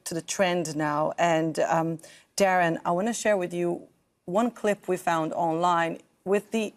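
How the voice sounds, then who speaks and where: A woman hosting an interview show, asking questions in a measured, halting way. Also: on the low side. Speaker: interview host.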